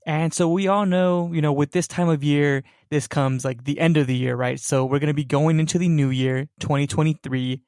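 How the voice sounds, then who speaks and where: Young guy hosting a podcast, kinda rambly, lots of "right?", gravelly but clear. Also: medium pitch, no room sound, clean studio sound. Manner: rambling, many fillers and "right?". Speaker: podcast host. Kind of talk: casual podcast chat.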